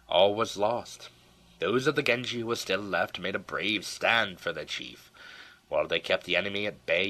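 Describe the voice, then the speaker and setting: A man with a low, forceful voice narrates a historical tale. His delivery is theatrical and solemn, with deliberate phrasing suited to an audiobook of old battles and legends. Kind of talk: dramatic scripted storytelling narration of a historical tale.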